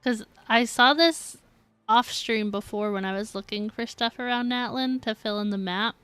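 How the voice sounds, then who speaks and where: A woman's voice: fairly high pitch, moderate pace, a bit bright, clean tone, dry recording. A woman streaming a game, giving casual, playful live commentary in a chatty way.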